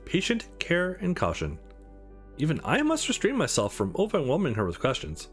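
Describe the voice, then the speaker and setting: A man voicing a measured, authoritative scientist in a visual novel, speaking in a precise, formal manner. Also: fairly high pitch, huge pitch swings, soft background music.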